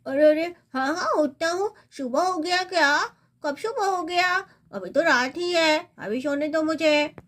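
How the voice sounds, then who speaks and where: A woman's voice: extremely high pitch, animated, a little slow, a bit bright, heavily acted. A woman voicing a whiny, indignant young boy in a children's cartoon story. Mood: groggy. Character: indignant young boy, sleepy whining brother.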